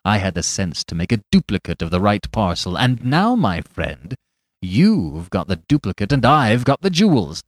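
A man voicing a big master thief who talks in a lower voice, quietly menacing and then loudly mocking. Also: expressive, moderate pace, no room sound. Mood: gloating. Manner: low and menacing, turning boisterous and mocking. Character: great robber, a big bold master thief.

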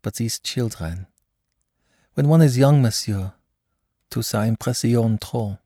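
A man's voice: medium pitch, takes it slowly, mellow, dry recording, studio quality, pitched above the performer's own voice. A man reading an audiobook, voicing a young émigré mother who gushes and slips French phrases into her English. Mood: anxious. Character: anxious, effusive young émigré mother, woman.

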